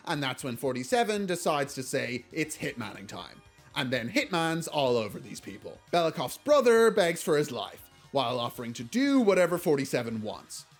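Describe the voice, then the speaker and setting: A man delivering scripted video essay commentary in a blunt, casually sardonic way. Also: medium pitch. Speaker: video essayist reviewing films. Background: music.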